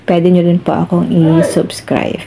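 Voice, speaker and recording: A woman's voice: fairly low pitch, little pitch movement, unhurried, vocal fry, background noise. A Filipino woman hosting a step-by-step phone tutorial, addressing viewers politely as she gives instructions. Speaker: tutorial host.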